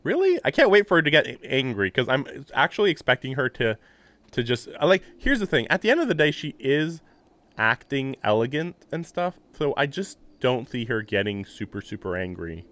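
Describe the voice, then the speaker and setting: A man's voice: mid-range voice, big pitch swings, fairly quick, fairly bright tone, dry recording. A man livestreaming a visual novel, reading the narration aloud and adding rambling, amused casual commentary. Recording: game music playing behind. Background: video game music.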